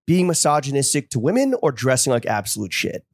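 A man's voice: medium pitch, sing-song, brisk, vocal fry, breathy edge, no echo, studio quality. A man co-hosting a podcast, asking mock-serious questions in a wry, joking way. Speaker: podcast co-host. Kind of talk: casual podcast banter.

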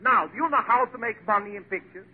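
An actor playing a brisk, pompous Hollywood film director in a 1940s radio comedy. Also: male voice, sits high, close to an everyday voice. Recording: very noisy, tinny old radio recording.

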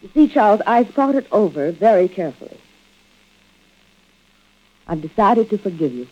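An actress playing a composed, suspicious wronged wife in a vintage radio thriller, with a deliberate, theatrical delivery. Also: female voice, medium pitch, very animated, mellow. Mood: composed, forgiving. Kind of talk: 1940s-50s radio drama acting. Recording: dull vintage radio recording.

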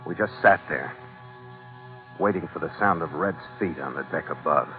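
A man's voice: very deep voice, moderate pace. An actor playing a tough, wry American sea captain, narrating his adventure tersely in a vintage radio drama. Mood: tense. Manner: terse, wry, hardboiled storytelling. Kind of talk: 1940s-50s radio adventure narration and acting. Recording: tinny vintage radio recording with music.

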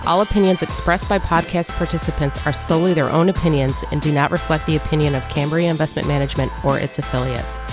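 A woman reading a formal legal disclaimer in an even, scripted manner. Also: sits low, quick pace, few pauses. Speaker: disclaimer announcer. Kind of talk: scripted read. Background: music.

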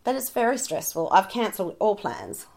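A middle-aged woman with a croaky voice from a cold, chatting frankly on a podcast and swearing freely. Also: medium pitch, very animated, normal speed, a little mellow. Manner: frank and sweary, laughing easily. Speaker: podcast co-host. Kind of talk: casual chat.

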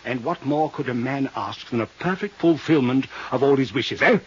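Old radio villain: aged, genteel, greedy, verbose, theatrical; slightly rough tone, muffled fifties broadcast. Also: male voice, mid-range voice, normal speed. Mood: gleeful, grandiose. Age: older. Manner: florid, theatrical, courtly phrasing with Latin flourishes. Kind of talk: 1950s radio drama acting. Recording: muffled 1950s radio recording.

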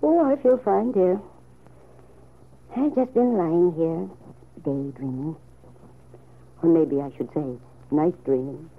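A woman playing a kindly, wistful older aunt with a low husky voice, measured and tender, in old-time radio soap style. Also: heavily acted. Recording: narrow, tinny old radio recording.

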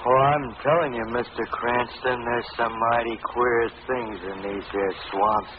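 A man's voice: medium pitch, steady tone, a little slow, close to an everyday voice. An actor playing a superstitious rustic boatman in a 1940s radio drama, with a rural Southern American drawl. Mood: warning. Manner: folksy drawl. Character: superstitious rustic swamp boatman, lovesick and meddling. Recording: tinny, noisy old radio recording.

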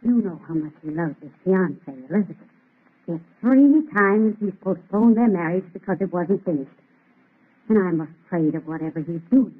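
A woman's voice: on the low side, expressive, normal speed, husky. A woman playing an anxious, disapproving housekeeper with a grumbling, emphatic manner in old radio drama style. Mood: worried, fearful. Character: fretful, scolding female housekeeper, anxious and disapproving. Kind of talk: 1930s radio drama acting. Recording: tinny, scratchy old radio broadcast.

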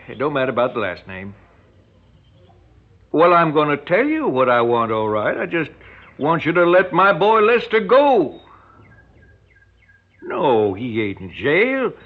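Drawling rural Southern kidnapper, lo-fi. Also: male voice, a little high. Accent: American rural Southern. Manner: drawling, folksy, colloquial talk. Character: folksy, menacing rural kidnapper, a doting father. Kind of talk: radio drama acting. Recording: dull lo-fi radio recording, hissy background noise.

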